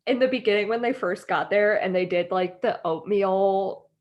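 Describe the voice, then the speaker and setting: An American woman co-hosting a podcast in informal, expressive, chatty conversation. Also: medium pitch, normal speed, lo-fi sound. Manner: informal, expressive, quotes her own reactions. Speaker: podcast co-host. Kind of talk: casual chat recapping a reality TV show.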